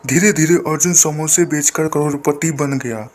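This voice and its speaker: A man's voice: on the high side, steady tone, moderate pace. A man narrating a simple moral story as a scripted storyteller.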